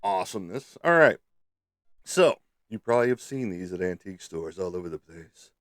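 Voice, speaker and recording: A low-pitched man hosts a casual livestream in a close, dry sound. He is slightly stuffed up and talks to viewers in a playful, teasing way. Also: big pitch swings, a little fast, a bit bright, no room sound. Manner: playful, teasing, peppered with sung sound effects. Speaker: livestream host.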